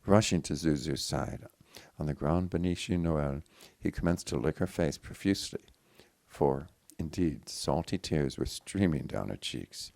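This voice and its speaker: A man reading a literary story aloud with theatrical, deliberate phrasing. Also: a bass voice, creaky, a little mellow, no room sound. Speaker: audiobook reader. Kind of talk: dramatic literary story narration.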